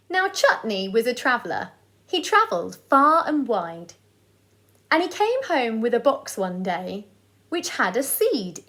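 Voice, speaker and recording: A woman reading aloud to children as a storyteller, in an expressive style. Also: on the high side. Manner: deliberate, sing-song delivery of rhyming verse.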